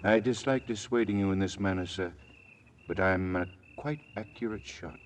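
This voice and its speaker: A man playing a stiff, polite, quietly menacing chauffeur in 1940s radio drama, speaking formally and precisely. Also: on the low side, moderate pace, natural voice. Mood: coolly threatening. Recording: noisy vintage radio recording.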